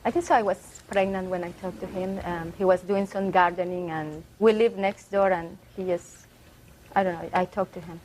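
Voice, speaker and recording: A woman's voice: lowish voice, fairly even intonation, moderate pace, a little mellow, a little hiss or hum, rough recording. A native Spanish-speaking woman with a Salvadoran accent, answering court questions briefly and hesitantly as a witness.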